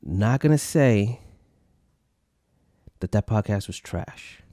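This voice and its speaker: Low-voiced American man, dry and mocking. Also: expressive, slow, dark tone, no room sound. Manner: mocking, blunt, repetitive riffing. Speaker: podcast host reviewing other podcasts. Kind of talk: casual comedic commentary.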